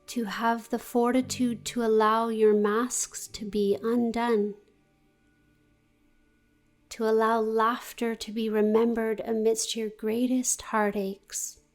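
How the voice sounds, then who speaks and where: A woman guiding a meditation in a gentle, deliberate, soothing manner with long pauses. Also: on the high side. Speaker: yoga nidra meditation guide. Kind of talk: guided meditation, scripted narration.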